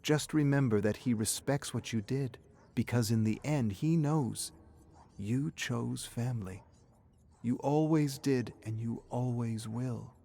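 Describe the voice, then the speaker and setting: A man voicing a gentle, reassuring mother in soft, tender, steady storytelling dialogue. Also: medium pitch, measured pace, vocal fry. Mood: tender urging.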